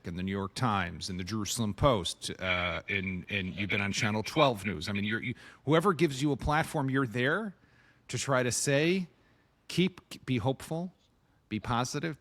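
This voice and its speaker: A man's voice: lowish voice, fairly bright tone. A man hosting a podcast interview, talking conversationally and building up to his questions in wordy stages.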